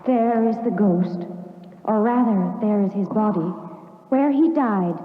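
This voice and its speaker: A woman's voice: mid-range voice, a little slow, narrow, tinny audio, a little hiss or hum, natural voice. An actress playing a brave, tender-hearted young girl in an earnest 1950s radio drama style. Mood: solemn, tender. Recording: thin old radio recording, long reverb.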